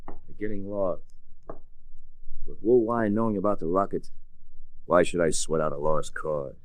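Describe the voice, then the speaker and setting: An actor playing a slick, cynical racketeer villain in a 1940s radio drama, with a smug, sardonic manner. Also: male voice, deep voice, steady tone, a little slow, dry recording, low-quality recording. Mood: smug, nonchalant.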